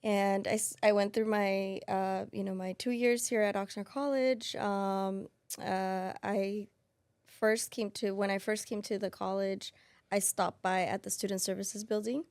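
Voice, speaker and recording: A woman in her thirties whose first language is Spanish speaks in a gravelly, creaky voice. She talks thoughtfully in a casual interview, with many fillers and restarts, recorded dry and close. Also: medium pitch, steady tone, a little slow, darkish tone, no echo. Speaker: financial aid specialist.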